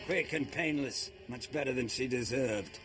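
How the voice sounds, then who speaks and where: An actor playing a cold-blooded, sardonic schemer in a video game, speaking with clipped disdain. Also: male voice, medium pitch, moderate pace, over loud music, lightly acted. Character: contemptuous, cold-blooded male schemer, sardonic and self-preserving.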